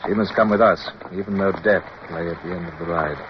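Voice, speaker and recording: Male, commanding naval officer played by an actor, lowish voice, formal and crisp, dull vintage radio sound. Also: fairly even intonation, a little fast, natural voice. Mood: sombre, tender. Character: resolute British naval officer, commanding yet tender, recounting his war. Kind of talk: radio drama acting and first-person narration. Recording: muffled, noisy old radio recording.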